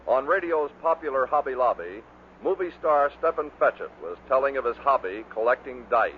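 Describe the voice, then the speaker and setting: The voice is an American man narrating from a script. His pitch is average. The old recording is narrow and muffled, with faint noise behind him. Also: animated, normal speed. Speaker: narrator of a radio bloopers compilation.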